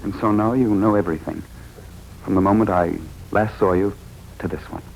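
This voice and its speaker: An actor playing a troubled, lovesick husband in a 1940s radio drama, on an old recording. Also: male voice, deep voice, fairly quick, creaky, a little mellow, a little hiss or hum, poor audio. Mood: earnest. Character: troubled, lovesick husband who regained his memory.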